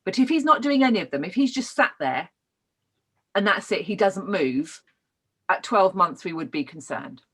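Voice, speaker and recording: British female trainer, mid-pitched, informal and explanatory, addressing learners directly. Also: animated, normal speed, fairly bright tone, slightly rough. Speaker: trainer teaching child development. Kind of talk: informal online lesson, explaining.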